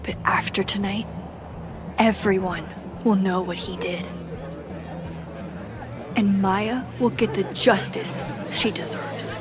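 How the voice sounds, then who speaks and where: A woman's voice: mid-range voice, slow pace, long pauses, music in the background, crowd noise, natural voice. A woman playing a reflective, indignant young woman giving an inner monologue in a video game. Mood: indignant, resolved.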